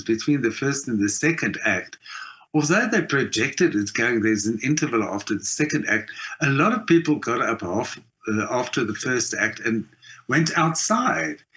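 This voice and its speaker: A man's voice: mid-range voice, sharp tone, rough recording. A middle-aged South African man talking conversationally as an interview guest, emphatic and full of anecdote. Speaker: guest, theatre performer.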